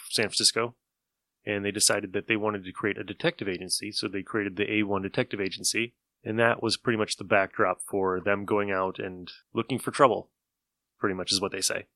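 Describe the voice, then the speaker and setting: A man's voice: fairly low pitch, pushed and bright, dry recording. A man hosting a podcast, chatting casually as he introduces old radio shows. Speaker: podcast host.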